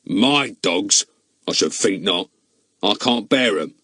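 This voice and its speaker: A man reading an audiobook, voicing a surly, grasping middle-aged gatekeeper who grumbles in a gruff, rough voice. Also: fairly low pitch, expressive, slow, pushed and bright, no room sound, studio quality, full character voice. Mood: grumbling. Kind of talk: audiobook character voice.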